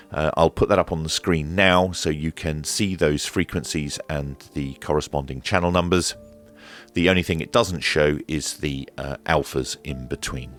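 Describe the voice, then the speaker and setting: A man with an extremely low, deep voice presents a hands-on gear demonstration. He explains things in a measured, matter-of-fact way, with faint music in the mix. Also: fairly bright tone. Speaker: presenter of a radio equipment review. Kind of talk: hands-on demonstration and review commentary.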